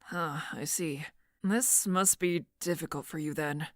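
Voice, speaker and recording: Woman playing a gentle, caring young friend. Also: deep voice, fairly even intonation, unhurried, no room sound, clean studio sound. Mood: friendly. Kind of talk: fan audio drama acting.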